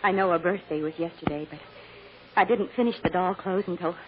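An actress playing an anxious, doting mother on trial in a radio drama, halting and emotional. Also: on the low side, close to an everyday voice. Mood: eager, anxious. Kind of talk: 1950s radio drama acting. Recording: dull noisy old radio recording.

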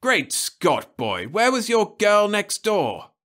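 A man's voice: a little high, lively intonation, unhurried, a bit bright, pitched above the performer's own voice. A man voicing a blustering older male official who thunders indignant questions.

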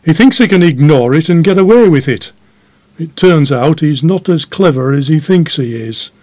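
Man doing a blunt disapproving cop in an audio drama, highish voice, scolding, dry sound. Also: animated, normal speed, darkish tone, dry recording, lightly acted. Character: indignant, disapproving male police inspector. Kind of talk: audio drama staged as a podcast.

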